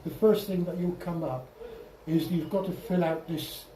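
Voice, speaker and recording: Man, informal tutorial presenter, halting restarts, roomy echo, distant mic with faint noise. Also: a little high, mellow. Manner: halting, repeats and restarts phrases. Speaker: presenter at an amateur radio club. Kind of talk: informal software tutorial over slides.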